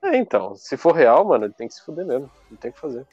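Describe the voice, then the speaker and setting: A young Brazilian man who talks fast in slangy, profane banter during a casual podcast chat. The audio is a bit dull and lo-fi, with faint music underneath. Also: mid-range voice, very animated, slightly rough. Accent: Brazilian Portuguese. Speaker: podcast co-host.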